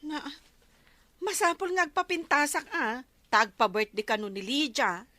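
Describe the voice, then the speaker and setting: A woman's voice: sits high, big pitch swings, moderate pace, bright, forceful tone, dry recording, heavily acted. A woman acting a naive, plaintive village wife in an Ilocano comedy drama, with a Filipino Ilocano accent and protesting interjections.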